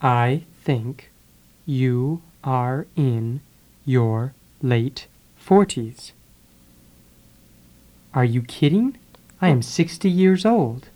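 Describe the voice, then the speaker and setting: A speaker drilling English phrases for learners, saying each sentence and then repeating it one word at a time with pauses. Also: medium pitch, expressive, mellow, low-quality recording. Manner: deliberate, pausing after each word. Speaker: English teacher.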